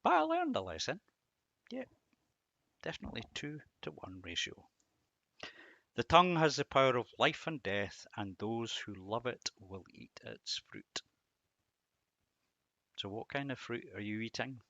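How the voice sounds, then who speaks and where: A man's voice: mid-range voice, sing-song, measured pace, creaky, long pauses, bright, forceful tone, dry recording, highs cut off. A middle-aged man giving a reflective devotional talk on scripture, asking rhetorical questions and repeating words for emphasis. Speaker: Bible teacher and host.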